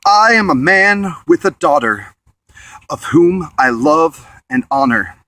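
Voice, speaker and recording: A man recites slam poetry in a slightly high voice. His delivery is earnest and declamatory, riding the rhythm and rhyme of the verse. The sound is dry and close, with little room around it. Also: expressive, slow, pushed and bright, no echo. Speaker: slam poet.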